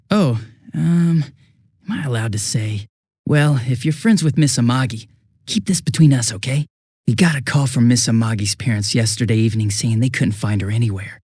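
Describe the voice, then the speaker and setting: An actor playing a bumbling, chatty young police detective in a video game dub, with an American accent and a sheepish, stammering, casual manner. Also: male voice, mid-range voice, darkish tone, husky, dry recording, clean studio sound.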